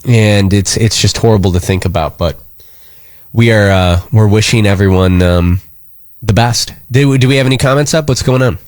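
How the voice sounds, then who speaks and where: A man's voice: fairly low pitch, normal speed, no room sound, clean studio sound. An American man hosting a live radio show, talking casually and earnestly in a rambling, concerned way.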